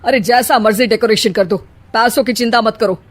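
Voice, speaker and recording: A woman's voice: on the high side, fairly even intonation, a little fast, close to an everyday voice. A woman voicing a frustrated, grumbling, sarcastic father in a cartoon family drama. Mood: irritated, sarcastic. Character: frustrated, blustering father of the bride. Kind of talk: Hindi moral-story cartoon dubbing.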